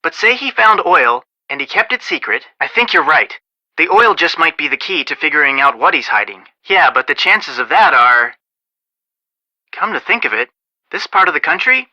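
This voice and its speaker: Bright, animated young male detective. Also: fairly high pitch, dry recording, exaggerated voice, poor audio. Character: earnest, wry young male amateur detective on the phone. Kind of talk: video game voice acting, conversational.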